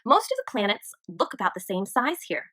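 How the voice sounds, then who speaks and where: A woman teacher reading a children's nonfiction book aloud in an expressive storytime manner. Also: medium pitch, fairly quick, no room sound, studio quality.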